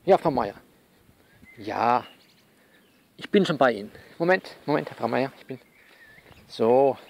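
A man playing a soothing but bossy confidant who coaches someone by phone in an audio drama, halting and repeating himself as he instructs. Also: medium pitch, lively intonation, vocal fry, darkish tone, a little hiss or hum. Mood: reassuring. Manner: halting, repetitive, instructive, reassuring. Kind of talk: one-sided phone conversation in an audio novel.

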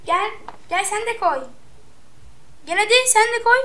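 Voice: very high voice, normal speed, pushed and bright. A child gamer chatting casually with a co-player during gameplay, on a noisy mic with clicks.

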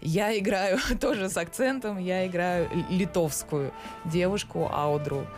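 Hesitant woman actress, low-voiced. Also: measured pace, faint music behind. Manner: hesitant, many fillers and restarts, laughs often. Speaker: stage actress, studio guest. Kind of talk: live radio and TV studio interview. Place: small radio studio. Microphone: studio boom mic.

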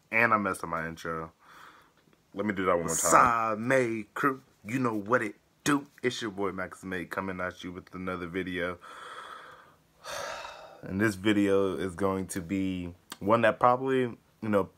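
A man's voice: low-pitched, bright, forceful tone. An American man in his twenties, congested with a head cold, chatting casually as a video reaction host. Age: 20s. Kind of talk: casual vlog chat and reactions. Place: small wood-panelled bedroom.

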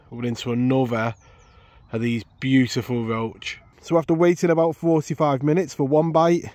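A man's voice: medium pitch, dry recording. A man talking casually through a fishing session outdoors at a reservoir, explaining as he goes. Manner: relaxed, explanatory, chatty. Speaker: angler vlogger.

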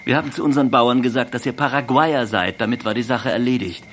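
A man's voice: mid-range voice, fast, a bit bright, slight background noise, natural voice. A male actor in a radio drama playing a hard-nosed, mocking army officer.

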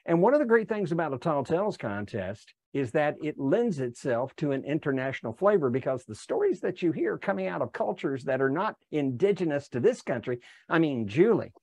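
An older man presenting in an online club session, speaking emphatically and deliberately and repeating phrases for effect. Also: fairly high pitch, big pitch swings, a little mellow, no echo. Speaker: workshop presenter. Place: indoor room on a video call. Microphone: clip-on lapel mic.

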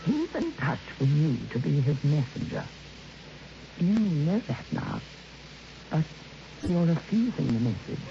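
Low-voiced, earnest middle-aged woman. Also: big pitch swings, measured pace, vocal fry, pauses often, dark tone, full character voice. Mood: earnest, insistent. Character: earnest, kindly middle-aged woman who believes in ghosts. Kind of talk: old radio drama acting. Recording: muffled, noisy old radio recording.

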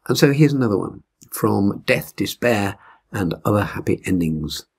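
A man in his seventies with a husky voice, reading a short story aloud expressively. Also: on the low side, lively intonation, moderate pace. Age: 70s. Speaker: story reader. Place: indoors in a wood-beamed room at home.

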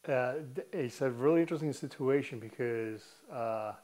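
A man's voice: mid-range voice, normal speed, darkish tone. A middle-aged man from Cuba, interviewed about his identity, speaking in a reflective, matter-of-fact way.

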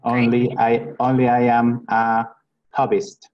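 A man, a hobbyist who introduces himself in halting, simple phrases. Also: mid-range voice, monotone, slight background noise. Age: 50s. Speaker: hobbyist tester. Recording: distant, muffled webcam mic.

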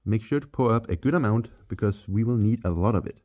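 A man's voice: fairly low pitch, quick pace, muffled recording. A man from Denmark with a Danish accent, giving a step-by-step chemistry voice-over for his channel. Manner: plain, step-by-step instructional. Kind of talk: scripted voice-over tutorial.

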